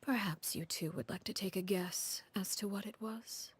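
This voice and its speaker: An actress voicing a composed, cold, commanding woman harbinger in a video game, telling a grim tale in a measured, solemn, hushed voice. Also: medium pitch, husky. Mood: solemn, restrained. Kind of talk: dramatic storytelling in a video game.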